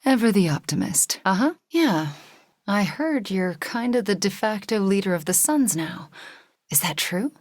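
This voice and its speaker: An actress playing a guilt-haunted, wry young sorceress in a video game, speaking in an intimate, earnest way. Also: female voice, medium pitch, expressive, a little slow, darkish tone, no room sound, studio quality. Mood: wry, teasing.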